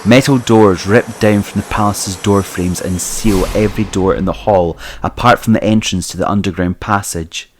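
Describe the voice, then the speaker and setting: Male narrator for audio drama, low-pitched, scripted storytelling. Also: steady tone, moderate pace, few pauses, a little hiss or hum.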